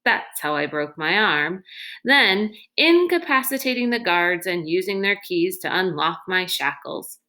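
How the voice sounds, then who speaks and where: A calm and gallant grown man from a children's storybook, read by a woman. He speaks in a measured, understated way, protective and reassuring. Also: on the low side. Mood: matter-of-fact. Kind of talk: children's novel read-aloud.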